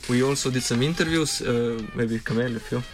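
A man's voice: medium pitch, a little fast, faint music behind. Hesitant Slovenian man hosting radio. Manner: hesitant, many fillers, switches between Slovenian and English. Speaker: radio show host. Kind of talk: casual community radio talk.

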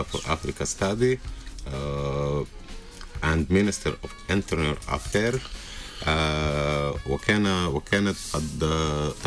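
A Tunisian man with a very deep, low voice speaks as a radio guest. He talks haltingly, with frequent "uh"s as he searches for words. Faint music plays behind him. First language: Arabic. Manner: slow, halting, many fillers.

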